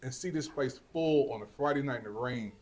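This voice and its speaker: A man's voice: medium pitch, brisk, darkish tone. A man interviewing guests for a TV network in casual, enthusiastic chat, effusive and full of exclamations.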